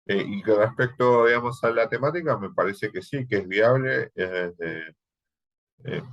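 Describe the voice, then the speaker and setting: A man with an Argentine accent teaching an online thesis workshop, talking in a hesitant way with many fillers. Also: deep voice. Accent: Argentine Spanish. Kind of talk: online class, thesis advising. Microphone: video call.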